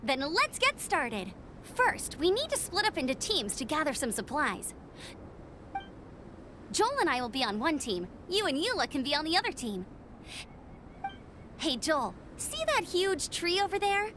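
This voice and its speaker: A woman playing a cheerful, energetic young female scout with an upbeat manner. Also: high voice, measured pace, long pauses, noisy background. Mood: playful. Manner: bubbly, upbeat.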